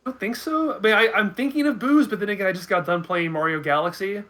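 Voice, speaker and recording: A man's voice: high-pitched, fast talker, a bit bright. A man in his thirties chatting casually as a podcast guest, thinking aloud with hesitant, repeated words. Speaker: guest commentator. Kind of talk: casual video podcast chat.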